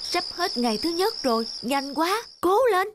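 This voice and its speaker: Greedy, frantic old miser. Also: male voice, very high-pitched, normal speed, noisy background. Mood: irritated, frantic. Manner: muttering to himself, exclaiming excitedly. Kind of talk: animated folktale cartoon acting. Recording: music under the voice.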